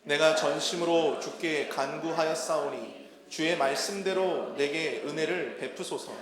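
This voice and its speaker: A man's fairly high voice reads scripture along with a congregation. Many voices blend around it in a large, echoing church hall with long reverb. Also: a little slow, crowd noise. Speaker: congregation reading in response. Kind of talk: responsive scripture reading in unison.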